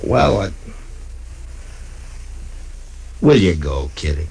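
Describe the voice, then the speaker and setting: An actor playing a gruff, laconic American frontier marshal in a 1950s western radio drama. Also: male voice, sits low, big pitch swings, normal speed, a little mellow, exaggerated voice. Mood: gentle, coaxing.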